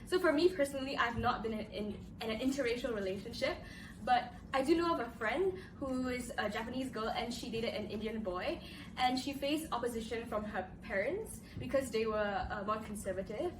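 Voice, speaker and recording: A woman's voice: fairly high pitch, animated, a little fast. A young woman hosting a casual panel discussion, putting the questions to the others. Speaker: host. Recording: roomy echo, distant mic, audible room noise.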